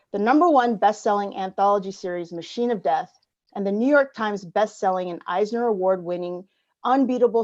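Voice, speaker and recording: A woman from an embassy reading prepared welcome remarks with a measured, formal delivery, heard over a video call. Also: medium pitch, a little mellow, dull audio. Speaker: embassy representative opening the event.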